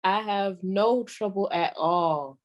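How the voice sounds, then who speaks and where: A woman's voice: mid-range voice, slow pace, highs cut off, lightly acted. A woman playing a sassy, folksy old female comedian doing a wry 1940s radio stand-up monologue.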